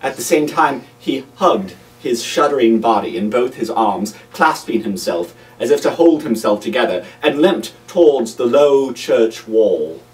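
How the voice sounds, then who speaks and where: A man narrating classic literature as a reader, in a deliberate, theatrical storytelling manner. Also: medium pitch, expressive, distant mic, low-quality recording. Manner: deliberate, dramatic.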